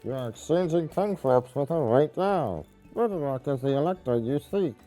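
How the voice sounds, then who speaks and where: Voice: medium pitch, lively intonation, moderate pace, dark tone, faint music behind, lightly acted. An actor playing a small, quirky goblin-like village elder in a video game, earnest and speaking in the third person. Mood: welcoming. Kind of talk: video game character acting.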